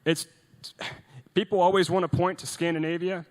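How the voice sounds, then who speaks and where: A man's voice: sits high, little pitch movement, normal speed. Earnest young man exhorting a hall. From Norway. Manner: earnest, exhorting, with frequent restarts and rhetorical questions. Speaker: event speaker. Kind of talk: rousing speech to a youth audience. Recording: long reverb of a large hall.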